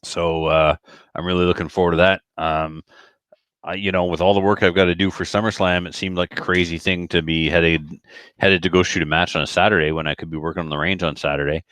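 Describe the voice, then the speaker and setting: A man with a low voice talks casually on a podcast. He rambles in everyday language and likes to act out what people said. The recording is dry and close, with little room sound. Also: steady tone, brisk, fairly bright tone, dry recording. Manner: rambling, colloquial, with acted-out quotes. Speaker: podcast speaker who organizes shooting matches.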